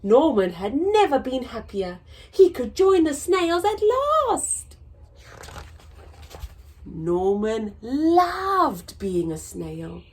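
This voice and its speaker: A woman reads a picture book to very young children in a high voice. Her delivery is unhurried and sing-song, with pitch that swings widely as she tells the story. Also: very animated, darkish tone, slightly rough. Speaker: children's story time host.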